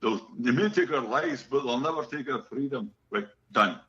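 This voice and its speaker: Scottish man, bright forceful voice. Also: mid-range voice, expressive, normal speed. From Scotland. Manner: chatty, joking storyteller. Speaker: guest musician. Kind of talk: casual interview chat. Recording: echoey room, dull lo-fi sound.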